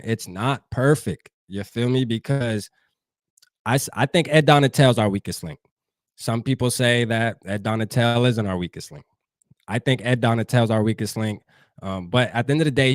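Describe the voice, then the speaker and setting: A man's voice: mid-range voice, moderate pace, no echo, clean studio sound. A man from New Jersey hosting a fan show, talking loosely and profanely straight to his live chat. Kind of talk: casual livestream sports talk.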